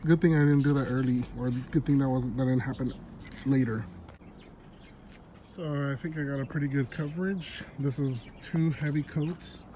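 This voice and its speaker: A man's voice: medium pitch, moderate pace, a little hiss or hum. Congested man vlogging outdoors. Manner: relaxed, chatty, laughs often. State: congested, stuffy nose. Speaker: car hobbyist vlogger. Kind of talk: casual how-to vlog narration. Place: outdoors in a yard. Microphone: phone held while working.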